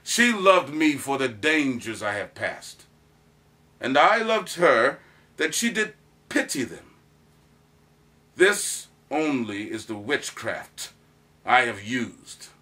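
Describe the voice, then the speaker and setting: A man's voice: medium pitch, very animated, slow pace, long pauses, bright, forceful tone, full character voice. A man performing a proud soldier who recounts his courtship in a declamatory, theatrical Shakespearean monologue. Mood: fond, assured. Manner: declamatory, dramatic emphasis.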